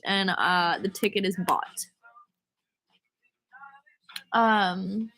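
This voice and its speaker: A woman's voice: mid-range voice, a bit bright, low-quality recording. A young American woman with a stuffy cold, chatting casually with fans, rambling through stories full of "like" and "um". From United States. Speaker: singer answering fan comments. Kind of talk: casual chat on a livestream.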